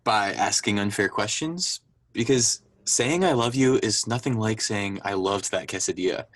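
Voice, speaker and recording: A man's voice: lowish voice, moderate pace, a bit bright, no echo. A young man playing an exasperated, evasive boyfriend in a contemporary radio drama, defensive and bantering. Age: young adult. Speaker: student voice actor.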